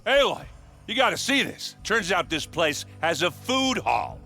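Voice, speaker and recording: A man voicing a jovial, boastful, food-loving sidekick with a folksy, rambling comic manner in an animated game cutscene. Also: high-pitched, measured pace, gravelly, soft background music, exaggerated voice.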